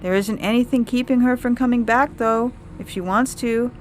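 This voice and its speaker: A woman voicing a gentle, avoidant young man in an audio fiction story. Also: on the high side, normal speed, soft background music, voice pushed up, lightly acted. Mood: resigned, reassuring.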